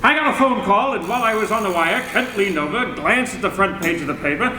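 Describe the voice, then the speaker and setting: A man playing an irascible old newspaper editor in a radio drama, gruff and flustered, stammering as he blusters. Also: sits high, expressive, fast, sharp tone, full character voice. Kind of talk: old-time radio drama acting on stage. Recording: echoey hall, distant mic, faint noise.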